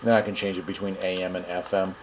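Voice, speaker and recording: Male host for a casual hands-on review, slightly deep, off-the-cuff and folksy, noisy recording. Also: quick pace. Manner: informal, folksy, thinking aloud while operating a device. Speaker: host of a radio review channel. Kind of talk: casual product demonstration. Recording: clear steady background noise.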